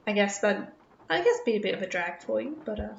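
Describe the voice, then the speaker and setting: A woman's voice: mid-range voice, animated, a little fast, vocal fry, roomy sound, lo-fi sound, a little hiss or hum. A woman from the UK, raised partly in Australia, chatting casually with viewers as a game streamer in a nervous, rambling way. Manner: nervous, rambling, self-conscious. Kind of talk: casual chat with viewers while playing.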